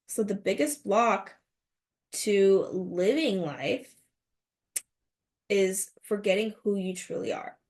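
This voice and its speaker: A woman in her thirties hosting a motivational podcast, speaking earnestly and asking rhetorical questions. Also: mid-range voice. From United States. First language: Mandarin. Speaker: podcast host.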